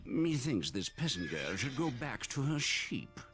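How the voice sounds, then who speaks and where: An actor playing a haughty medieval enemy commander, disdainful and mocking, in a strategy game. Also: male voice, mid-range voice, sing-song, a little slow, over music. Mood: sneering.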